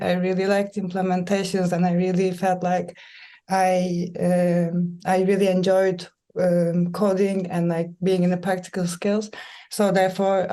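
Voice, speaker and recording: Flat-voiced young woman. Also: on the low side. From Turkey. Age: young adult. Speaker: panel guest, junior data scientist. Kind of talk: webinar self-introduction. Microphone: video call.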